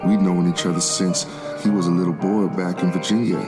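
A man's voice: low-pitched, fairly even intonation, a little fast, music in the background, natural voice. A man playing a devout, formerly enslaved preacher of the 1700s, telling his spiritual struggle in solemn, reflective first-person narration. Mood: earnest, reflective. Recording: loud musical backing.

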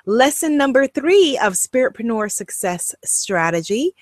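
A middle-aged woman from Guyana teaches an online course, her Guyanese accent light but present. She talks slowly and deliberately, leaning into words with upbeat emphasis. The sound is close and dry, with no room around it. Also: fairly high pitch, no echo. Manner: upbeat, animated, emphatic and encouraging. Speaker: online course host and coach. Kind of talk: direct-to-camera e-course lesson.

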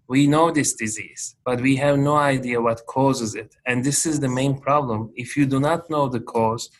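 A man's voice: medium pitch, fairly even intonation, moderate pace, low-quality recording. A man in his forties with a Turkish accent, a guest researcher answering interview questions earnestly and methodically, with small restarts. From Turkey.

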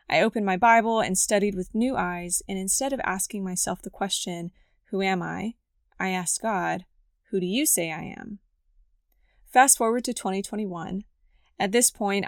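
A woman's voice: medium pitch, gravelly, no room sound, clean studio sound. Scratchy-voiced woman hosting a devotional podcast. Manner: earnest, reflective storytelling. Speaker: podcast host. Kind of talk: scripted devotional podcast narration.

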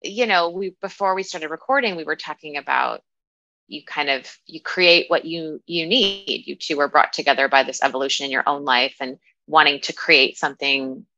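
A woman's voice: deep voice, moderate pace, pushed and bright, lo-fi sound. A woman in her thirties speaking as a coach in a casual interview, with an informal, wry, self-deprecating manner. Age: 30s. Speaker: guest, dating and relationship coach.

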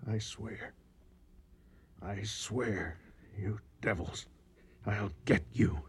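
Husky, terse lion hunter. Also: male voice, fairly low pitch, fairly even intonation, drawn-out words, heavily acted. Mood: grieving, grim. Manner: terse, commanding. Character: grim, determined male lion hunter leading men in Africa. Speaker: actor in a radio drama. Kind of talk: 1980 radio drama acting.

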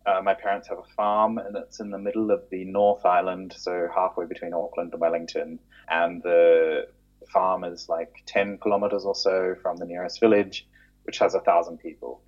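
A man's voice: low-pitched, level tone, moderate pace. A man with a New Zealand accent chatting casually as a podcast guest about his own experiences. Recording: dull, narrow lo-fi connection.

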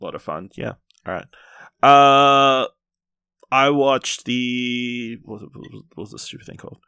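A man co-hosting a podcast, talking about films in a casual, halting, conversational way. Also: medium pitch, vocal fry, pushed and bright, clear, resonant tone, no echo, clean studio sound. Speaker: podcast co-host reviewing films and series. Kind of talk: casual unscripted film chat.

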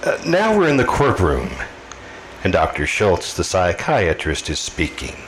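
An actor playing a sinister, sardonic all-knowing radio mystery narrator, mocking and deliberate. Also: male voice, low voice, huge pitch swings, a bit bright, slight background noise, exaggerated voice, poor audio. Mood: sly.

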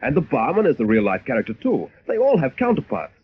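Animated, earnest playwright. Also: male voice, medium pitch, quick pace. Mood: earnest, insistent. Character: anxious, earnest playwright defending his work. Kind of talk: 1940s radio acting. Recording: muffled, hissy old radio recording.

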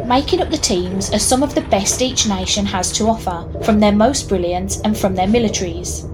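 A woman playing a reluctant hired narrator of an audio horror tale, with a deadpan, wry delivery. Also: medium pitch, moderate pace, music in the background, close to an everyday voice, rough recording. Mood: dry, sardonic. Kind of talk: scripted narration with deadpan asides. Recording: clear background noise and ambience.

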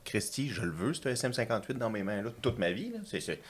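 Man, Quebec French accent, podcast host, quick talker, joking, casual swearing. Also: lowish voice, expressive, husky.

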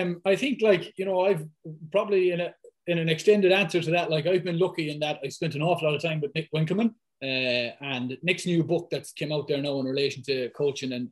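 Male guest, thick Irish accent, mid pitch, rapid chatty delivery. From west of Ireland. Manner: fast, lively talker, many fillers. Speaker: guest, football fitness coach. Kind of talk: casual podcast conversation.

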